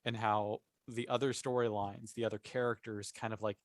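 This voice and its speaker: A man's voice: lowish voice, level tone, normal speed, no room sound. Enthusiastic man in a dry-sounding fan chat. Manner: enthusiastic, emphatic. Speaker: podcast panelist. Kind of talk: casual fan discussion.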